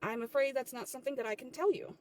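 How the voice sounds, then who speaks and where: A woman playing a stern, condescending female general manager in a comedy skit, officious and measured. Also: sits high, a little mellow, dry recording, close to an everyday voice. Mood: domineering.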